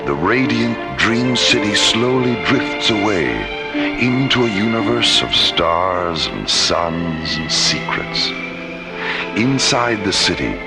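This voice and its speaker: A man delivering dramatic scripted radio narration as announcer, with theatrical, deliberate phrasing. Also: lowish voice, sing-song. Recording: loud music behind, vintage radio production.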